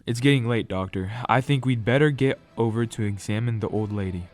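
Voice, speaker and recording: A man's voice: mid-range voice, fairly quick, lightly acted. A man playing an impatient, commanding lawyer father in a radio drama. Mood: businesslike. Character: impatient, businesslike lawyer father from New York. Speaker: amateur radio drama actor.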